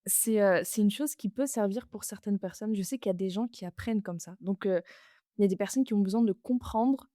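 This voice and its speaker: A woman's voice: mid-range voice, a little fast, warm tone, no echo, studio quality. A woman hosting a podcast interview, earnest and hesitant with many fillers. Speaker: podcast host. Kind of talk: interview conversation.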